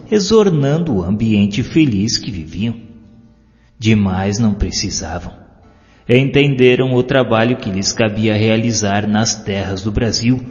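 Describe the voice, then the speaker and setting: A Brazilian man reads an inspirational story with solemn, measured phrasing. His voice sits at an average male pitch. The recording is dull and lo-fi, washed in long reverb. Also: darkish tone. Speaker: audiobook narrator. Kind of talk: scripted inspirational story narration. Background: music.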